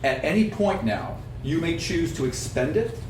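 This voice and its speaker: A man's voice: fairly high pitch, sing-song, normal speed. A man narrating a tabletop game as game master, explaining the scene and the rules. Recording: roomy, distant mic, faint noise.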